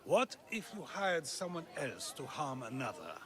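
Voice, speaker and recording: An actor playing an earnest philosopher of ancient Greece in a video game, arguing deliberately like an orator. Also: male voice, on the high side, big pitch swings, crowd noise. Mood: probing. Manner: questioning, oratorical, deliberate argument. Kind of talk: video game cutscene acting.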